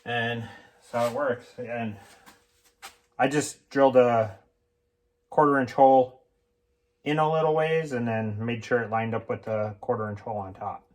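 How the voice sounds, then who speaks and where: A man with a medium-pitched voice walks through a hands-on tool demonstration. He speaks informally and without a script, pausing and thinking aloud as he works out each explanation. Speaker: hobbyist mechanic presenting a homemade tool demonstration. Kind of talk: casual unscripted how-to explanation.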